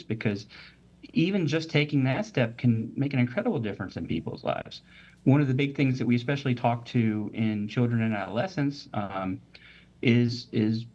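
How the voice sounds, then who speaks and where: A man of average pitch explains mental health topics as a medical guest on a talk show. He speaks steadily and methodically, often pausing on "uh" and "um", and the recording sounds a little dull. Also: a little mellow. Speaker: physician guest.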